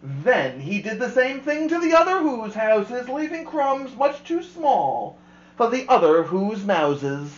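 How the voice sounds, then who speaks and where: A woman host reading a children's rhyming picture book aloud with expressive, rhythmic delivery. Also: on the high side, slow, echoey room, muffled recording. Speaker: storyteller host.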